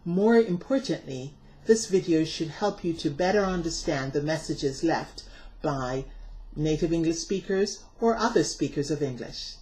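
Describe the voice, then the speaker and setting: A woman's voice: sits low, animated, measured pace, warm tone. A middle-aged woman teacher narrating a scripted lesson, enunciating carefully and stressing key words. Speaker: English teacher and presenter.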